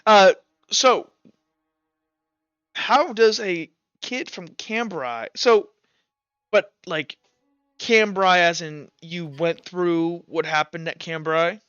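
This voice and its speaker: A man's voice: high-pitched, slow pace, pauses often, fairly bright tone, smooth, no room sound, highs cut off, faint music behind. A man playing a nosy, callous, hard-drinking professor in a tabletop roleplaying game, with an offhand, blunt way of talking. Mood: flippant, evasive.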